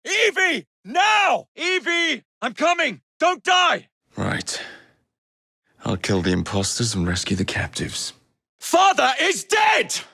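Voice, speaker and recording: A brash young English assassin for a video game, his delivery swinging widely in pitch. He is roguish and sardonic, with a theatrical streak. The sound is close and dry. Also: wildly swooping pitch, takes it slowly, creaky, bright, forceful tone, no room sound, exaggerated voice. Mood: urgent shouting, then focused. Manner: wry, cheeky, theatrical.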